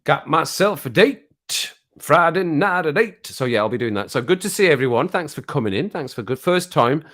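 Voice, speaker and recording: A man's voice: on the high side, big pitch swings, moderate pace, fairly bright tone. A man hosting a casual live stream from home over a drink, greeting viewers in the chat and laughing at his own jokes. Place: at home, living alone.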